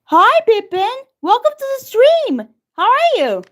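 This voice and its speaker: A woman from Turkey with a Turkish accent chats on her live stream. Her voice sits very high, and her pitch swings a lot. She talks slowly and stays excitable. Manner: excitable, greets chat viewers by name. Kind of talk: casual live-stream chat.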